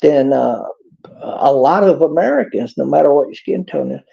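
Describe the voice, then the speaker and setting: A man's voice: fairly high pitch, lively intonation, moderate pace, gravelly. A man with a Texas accent giving a rambling, preachy monologue in a folksy drawl. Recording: dull lo-fi clip.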